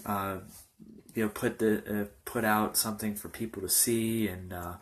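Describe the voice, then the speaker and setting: Young man, pastor giving his testimony, lowish voice, halting delivery full of fillers, earnest and informal. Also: fairly even intonation, poor audio. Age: young adult. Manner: halting, many fillers and restarts. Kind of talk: personal testimony talk.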